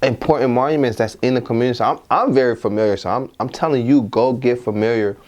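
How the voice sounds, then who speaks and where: A man's voice: mid-range voice, fast talker, darkish tone, dull audio. A young man born in Liberia, hosting a casual podcast chat and often restarting his phrases as he talks. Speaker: podcast host.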